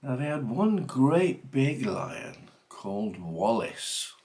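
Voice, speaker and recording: A man theatrically reciting comic verse with dramatic, deliberate phrasing. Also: medium pitch, sing-song, a little mellow. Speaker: reader of a comic monologue poem.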